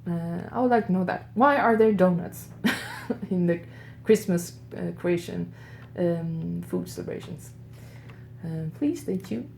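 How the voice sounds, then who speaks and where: A woman's voice: fairly low pitch, lively intonation, measured pace, vocal fry. A woman with a Swedish accent hosting an improvised, radio-style audio show. She chats on her own, musing aloud and turning to her listeners with curious questions. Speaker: host of an audio advent show.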